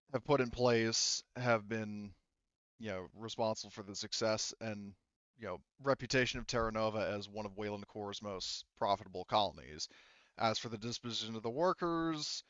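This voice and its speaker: A man playing a guarded, evasive corporate department head in a roleplaying game, speaking in a formal, measured manner. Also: on the low side, fairly bright tone, clear, resonant tone, no echo, highs cut off.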